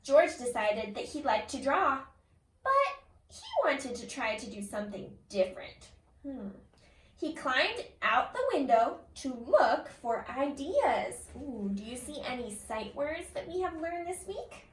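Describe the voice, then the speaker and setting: A woman reading a picture book aloud like a kindergarten teacher, expressively, pausing to put questions to young listeners. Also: high-pitched, lively intonation. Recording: roomy echo, distant from the mic.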